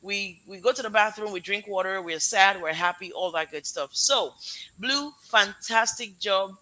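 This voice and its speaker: A woman's voice: medium pitch, normal speed, sharp tone, rough recording. A woman with a Nigerian accent mixed with American tones, hosting a casual live stream and giving effusive, heartfelt, rambling thanks to her guests and audience. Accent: Nigerian with American mix. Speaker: live show host, parent.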